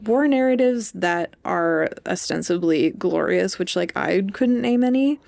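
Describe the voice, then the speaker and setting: Creaky-voiced young woman chatting. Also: lowish voice, lively intonation, unhurried, darkish tone. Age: young adult. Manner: informal, heavy use of "like". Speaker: podcast co-host. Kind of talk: casual book discussion chat.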